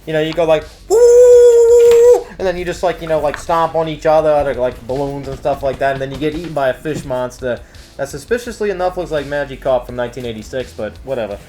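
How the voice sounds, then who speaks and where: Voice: mid-range voice, sing-song, fairly quick, noisy background. A co-host of a casual news show who rambles jokily through announcements, breaking into goofy exclamations. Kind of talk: casual comedic banter while reading event announcements.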